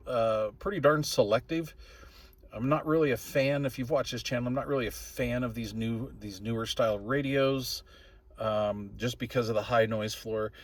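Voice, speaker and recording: A man's voice: medium pitch, no echo. A middle-aged or older man giving a casual, plain-spoken and opinionated product review as a hobbyist host. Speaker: radio hobbyist channel host reviewing gear.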